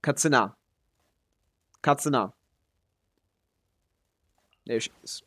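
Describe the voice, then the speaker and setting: A man streaming a game live, with an average-pitched voice. He talks offhandedly, thinking aloud as he answers chat. His commentary is casual, with some loose swearing. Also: slow pace, a bit bright, a little hiss or hum.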